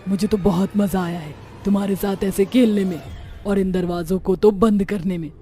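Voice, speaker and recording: A woman's voice: mid-range voice, fairly even intonation, moderate pace, warm tone, natural voice. A woman voicing a vengeful female witch who haunts a metro, gloating and taunting her victims in a cartoon horror story.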